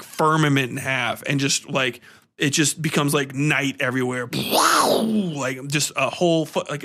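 Bright-voiced man, slangy rambling podcast host. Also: mid-range voice. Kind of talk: casual solo film review and rant.

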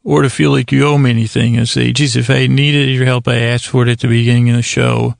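A man with a heavily gravelly, fry-laden voice rambles through a bedtime podcast monologue. His delivery is soothing and rather flat in pitch, full of meanders and unfinished sentences. The sound is close and dry. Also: dry recording. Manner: meandering, halting, trails off mid-sentence; lulling, soothing tones. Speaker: podcast host.

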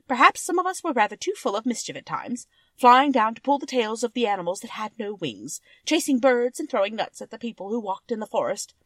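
A woman voicing a cheerful winged monkey king, telling his band's history in an expressive storybook manner. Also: fairly high pitch, a little fast, dry recording. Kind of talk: children's storybook character narration.

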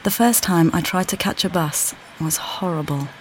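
An actress playing a weary, displaced mother who calmly guides the listener in a walking play, speaking plainly and directly to them. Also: lowish voice, normal speed, a little hiss or hum, close to an everyday voice, rough recording. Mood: reflective. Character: displaced mother, weary and uncertain, far from home. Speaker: audio guide narrator. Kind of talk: immersive walking-play narration.

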